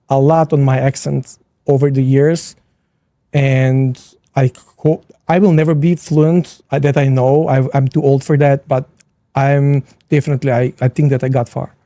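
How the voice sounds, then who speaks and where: A middle-aged man with a foreign Slavic accent, answering as an immigration attorney guest in a measured way, repeating words for emphasis. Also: mid-range voice, fairly even intonation, mellow, no echo, studio quality. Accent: foreign, heavy Slavic. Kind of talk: conversational interview answers.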